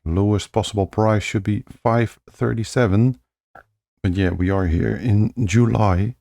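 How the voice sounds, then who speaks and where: A man giving relaxed, casual gameplay commentary, thinking aloud with many fillers, his voice a little worn as if he is losing it. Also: lowish voice, measured pace, creaky. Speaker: gaming channel host.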